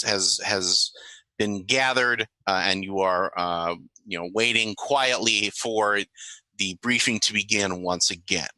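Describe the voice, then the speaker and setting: A man improvising narration as a tabletop game master, halting and often correcting himself. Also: lowish voice, pushed and bright, no echo, poor audio.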